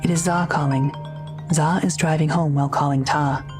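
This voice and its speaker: A woman's voice: deep voice, fairly even intonation, moderate pace, music in the background. A woman reading scripted narration for an audio drama as its narrator. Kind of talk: scripted narration, present tense.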